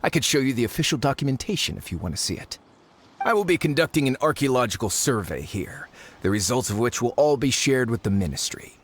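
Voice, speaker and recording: An actor playing a scheming foreign archaeologist who speaks politely and persuasively, in a game cutscene. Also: male voice, medium pitch, sing-song, quick pace, husky. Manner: polite, persuasive, slyly eager. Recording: game audio with music.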